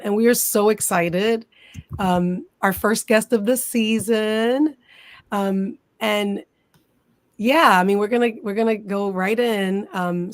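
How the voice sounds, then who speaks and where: A woman hosting a podcast in a relaxed, casual way, filling her talk with "um" and "like". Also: mid-range voice, dry recording. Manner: relaxed, many fillers like "um" and "like". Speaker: podcast host.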